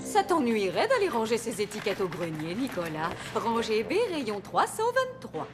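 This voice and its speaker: A woman voicing a brisk female elf helper in a cartoon, with an expressive, swooping delivery. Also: on the high side. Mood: brisk, requesting. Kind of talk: animated cartoon voice acting. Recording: music under the voice.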